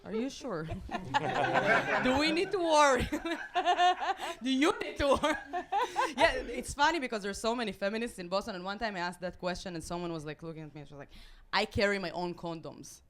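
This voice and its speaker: Woman, Israeli accent, late twenties, somewhat high pitch, deadpan, halting stand-up delivery. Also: crowd noise. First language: Hebrew. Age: late 20s. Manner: deadpan self-mocking delivery with halting restarts. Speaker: stand-up comedian. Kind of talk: stand-up routine. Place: comedy club stage with a live audience.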